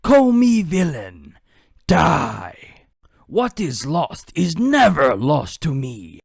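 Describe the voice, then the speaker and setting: A man voicing a grand, ruthless warlord from a video game with forceful, declamatory delivery. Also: fairly high pitch, huge pitch swings, drawn-out words, creaky, husky, no echo, clean studio sound, pitched above the performer's own voice, exaggerated voice. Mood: defiant. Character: grand commanding male warlord, defiant and ruthless. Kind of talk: video game character impressions.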